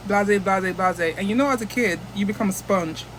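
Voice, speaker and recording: A woman's voice: medium pitch, normal speed, a little hiss or hum, rough recording. A woman from the United States chatting casually into her phone camera as a vlogger, rambling and restarting often.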